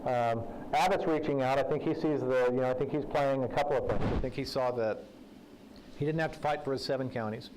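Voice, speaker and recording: A man's voice: mid-range voice, brisk, noisy background. A man who is a political journalist, giving a conversational talk to a breakfast audience in a folksy, anecdotal way with joking asides. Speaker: guest speaker, political journalist. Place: breakfast event room.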